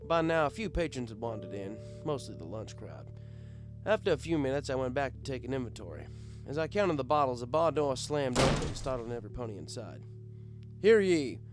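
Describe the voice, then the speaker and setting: A man narrating a comic first-person story in a wry, expressive, conversational storyteller's way. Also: medium pitch, fairly quick, over music. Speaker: audiobook narrator.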